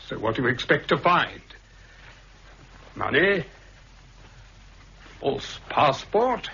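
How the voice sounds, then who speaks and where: A man's voice: a little high, very animated, slow pace, creaky, sharp tone, breathy edge, exaggerated voice. A man with a British accent playing a suave, scheming, coolly commanding intelligence official in a 1960s radio drama, with a clipped, condescending manner. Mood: defiant, sneering. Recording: muffled, hissy 1960s radio recording.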